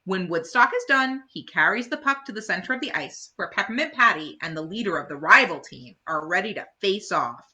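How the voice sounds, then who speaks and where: Very bright, animated woman reading stories. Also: mid-range voice. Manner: expressive, pauses to chat to young listeners. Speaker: children's storyteller at a library. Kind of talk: animated read-aloud bedtime story.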